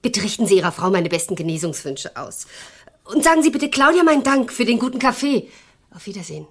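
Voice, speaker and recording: Husky, animated young woman visitor. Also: medium pitch, fast talker. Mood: curious, courteous. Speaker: actress in crime radio play. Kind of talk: German radio drama acting.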